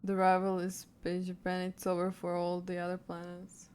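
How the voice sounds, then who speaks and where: A woman livestreamer reacting casually to a game, playful and excitable, often repeating her phrases. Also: fairly low pitch, normal speed, a little hiss or hum. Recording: game music behind the voice.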